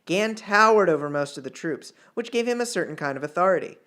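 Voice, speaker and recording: A man's voice: high voice, expressive, brisk, fairly bright tone. A man narrating fiction in an audiobook style, reading aloud with the odd small stumble. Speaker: author reading own novel chapter.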